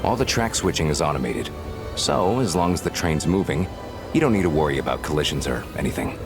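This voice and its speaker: A man's voice: very deep voice, animated, fairly quick, over music. An actor playing a courteous, chatty male railway official in a video game. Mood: friendly, advisory.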